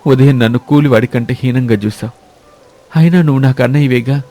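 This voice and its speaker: A man playing a poor, devoted younger brother in a village moral story, speaking forcefully and emotionally in rustic colloquial speech. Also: mid-range voice, fairly quick, close to an everyday voice. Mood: emotional, earnest. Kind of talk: dramatic moral-story cartoon voice acting.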